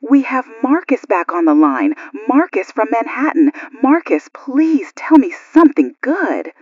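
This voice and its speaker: A woman's voice: on the high side, sing-song, a little slow, darkish tone, no room sound, dull audio. A woman playing an anxious radio news co-host during a blackout, in an audio drama.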